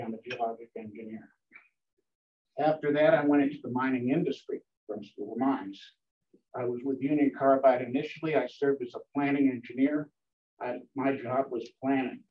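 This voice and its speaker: An older man introducing himself as a board candidate at a meeting, pausing often with ums. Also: medium pitch. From Connecticut. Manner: hesitant, many ums. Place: meeting room. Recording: dull distant room mic.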